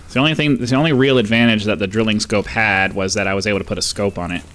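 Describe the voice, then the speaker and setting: A man's voice: on the low side, fairly even intonation, racing, a bit bright. Man casually commentating on a hunting game. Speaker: gaming video host. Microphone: close microphone.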